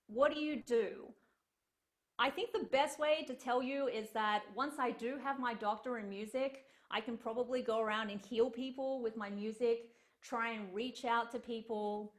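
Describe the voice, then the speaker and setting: A young woman gives a lecture over a video call. Her voice sits a little high, and she talks in an earnest, chatty way, filling pauses with ums and uhs. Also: steady tone. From Australia. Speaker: cellist giving an online lecture. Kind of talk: online lecture presentation. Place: home room on a video call.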